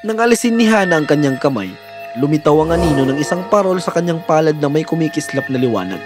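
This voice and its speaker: A man's voice: fairly high pitch, expressive, quick pace, music in the background. A man narrating a scripted fairy tale in a dramatic storytelling manner.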